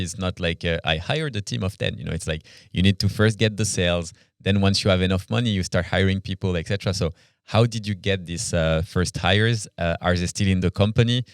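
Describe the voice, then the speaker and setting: A man's voice: deep voice, no echo, clean studio sound. A man hosting a casual interview, speaking informally and encouragingly to his guest. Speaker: podcast host interviewing.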